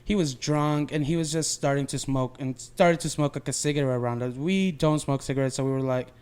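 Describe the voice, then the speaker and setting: A man's voice: mid-range voice, brisk, darkish tone, smooth. A man co-hosting a podcast, telling stories casually in a rambling, slangy way full of "like" and "you know". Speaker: podcast co-host. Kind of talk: casual chat, storytelling.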